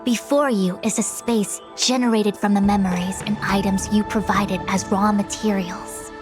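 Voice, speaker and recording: A woman voicing a composed, authoritative young master diviner in a video game, speaking formally, precisely and with measured poise. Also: mid-range voice, fairly even intonation, lightly acted. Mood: instructive. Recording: game music behind the voice. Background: background music.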